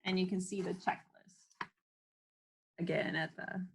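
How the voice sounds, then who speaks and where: A woman reading a children's book aloud at home in an unhurried way, explaining things in casual asides as she goes. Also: fairly low pitch, flat delivery, vocal fry, a little hiss or hum, poor audio.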